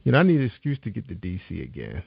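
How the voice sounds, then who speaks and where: A man's voice: on the low side, wildly swooping pitch, rapid-fire, gravelly, slightly rough, no room sound. A man hosting a radio talk show, chatting casually and encouragingly with a guest, full of "you know". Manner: laid-back, encouraging, lots of "you know". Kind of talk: casual interview chat. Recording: dull lo-fi sound, dry.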